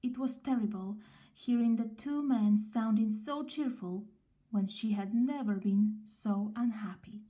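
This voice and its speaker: A woman reading a children's story aloud in a gentle, expressive way, with pauses between phrases. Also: a little high.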